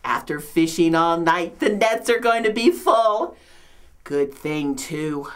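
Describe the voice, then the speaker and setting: A woman's voice: fairly low pitch, very animated, slow, voice pushed down. A woman playing a complaining, martyred old mother-in-law from biblical times in a comedic monologue, with a New York accent and theatrical delivery. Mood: excited kvetching. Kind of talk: comedic dramatic monologue, performed.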